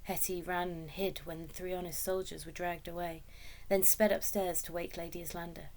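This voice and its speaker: A woman reads a children's story aloud. Her voice is a little lower than average. The delivery is scripted, expressive storybook narration. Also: normal speed. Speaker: story reader.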